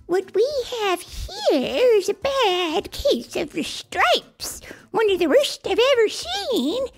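A sweet, plump old lady with a soft, coaxing voice that sits well above a normal speaking pitch, as a woman reads her aloud. She draws her words out slowly and kindly, like a grandmother in a storybook. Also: very animated, exaggerated voice. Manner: slow, high, sing-song coaxing.

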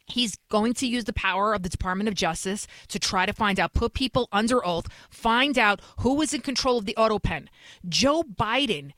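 A woman's voice: medium pitch, expressive, moderate pace, a bit bright, dry recording. A woman from New York City with a Bronx accent, hosting a talk show and giving emphatic commentary, often repeating phrases for effect. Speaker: host.

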